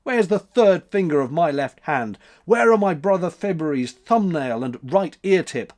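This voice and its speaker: A man voicing a blustering, wild storybook fellow who complains loudly and indignantly. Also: on the high side, animated, moderate pace, pitched above the performer's own voice, lightly acted. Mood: indignant shouting. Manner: loud, grumbling, blustering. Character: blustering, wild, indignant male month March.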